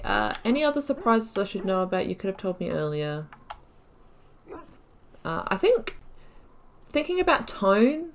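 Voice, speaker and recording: A woman with a mid-range voice hosts a video game playthrough. She talks casually and reflectively, musing aloud over her choices, with an easy readiness to poke fun at herself. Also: medium pitch. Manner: chatty, reflective, often laughing at own mistakes. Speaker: gamer hosting a playthrough. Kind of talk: casual gameplay commentary, thinking aloud.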